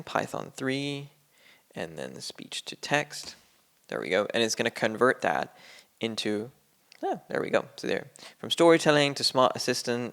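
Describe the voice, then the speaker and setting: Guy doing a coding walkthrough, low gravelly voice but clean, lots of uh and um. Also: a bit bright. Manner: loose and rambling, many fillers. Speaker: coding instructor. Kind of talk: casual screen-share tutorial. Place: at a computer, screen recording.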